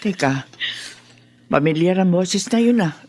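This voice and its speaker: A woman's voice: on the low side, lively intonation, measured pace, dark tone, slight background noise. A woman playing an ailing, devoted elderly Filipino mother and grandmother in an emotional radio drama. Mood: gentle, puzzled.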